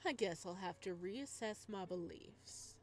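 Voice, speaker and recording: A woman's voice: mid-range voice, lively intonation, a little slow, darkish tone, faint music behind, natural voice. A woman playing a taunting, sadistic male killer. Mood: smug mocking. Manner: mocking, condescending. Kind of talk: visual novel voice-over.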